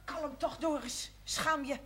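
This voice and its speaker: High-voiced mother. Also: steady tone, slow, background noise. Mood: reproving. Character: soothing, mildly reproving mother of grown sons. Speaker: actress in a television drama series.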